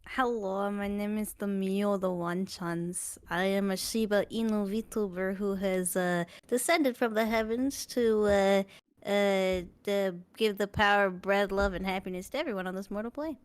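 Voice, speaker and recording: A woman's voice: mid-range voice, steady tone, unhurried, clear, resonant tone, dry recording. A woman guesting on a casual podcast, giggly and playful in how she talks.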